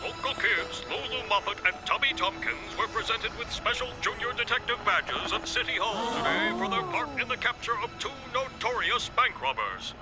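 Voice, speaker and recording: A formal cartoon newsreader, delivers a brisk bulletin. His voice is unusually high, with prominent music underneath. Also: animated, rough recording.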